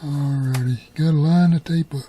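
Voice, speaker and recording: A man's voice: fairly high pitch, fairly even intonation, moderate pace, warm tone, slightly rough. A middle-aged man giving a casual hands-on tutorial, talking in a folksy, colloquial way and half muttering to himself as he works. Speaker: repair hobbyist.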